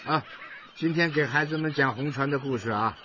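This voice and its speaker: A man acts out a proud old boat builder in his seventies, a doting radio-play grandfather. His voice is bright and carrying, with hearty exclamations. The recording is lo-fi with faint noise behind. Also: medium pitch, fairly quick, close to an everyday voice. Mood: proud, insistent. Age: 70s. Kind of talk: radio drama acting.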